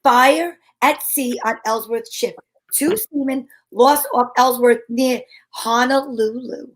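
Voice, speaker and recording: A woman's voice: fairly high pitch, takes it slowly, poor audio. A woman playing a wry, wisecracking female private eye in an old-time radio detective drama. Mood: wry narrating. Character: wry, breezy woman private eye narrating her case.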